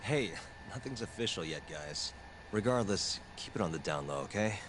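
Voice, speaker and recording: An actor playing a calm, self-assured defense attorney in a crime drama game, speaking in a cool, understated way. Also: male voice, sits low, moderate pace, background noise. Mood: cool, guarded. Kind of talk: cinematic video game dialogue.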